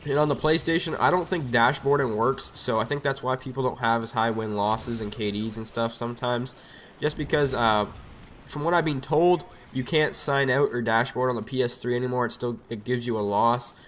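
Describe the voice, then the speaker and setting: A young man with a cold and a raspy voice, rambling casually through gameplay commentary on a desk microphone. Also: mid-range voice, fairly even intonation, moderate pace, fairly bright tone, slight background noise. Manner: rambling, chatty, lots of fillers. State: has a cold. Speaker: gaming commentator. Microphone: desk USB microphone.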